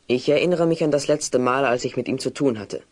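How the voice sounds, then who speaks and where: A man's voice: mid-range voice, brisk, lightly acted. A man playing an earnest, long-winded apprentice wizard who speaks precisely in a German children's audio play. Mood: earnest, explaining. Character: earnest, bookish male apprentice wizard, slightly unsure.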